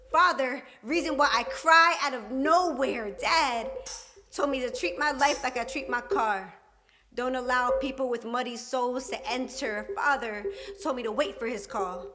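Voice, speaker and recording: A young woman performing spoken word poetry at a live open mic, with a rhythmic, emphatic delivery and dramatic pauses. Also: high voice, noisy background. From Puerto Rican and Dominican heritage.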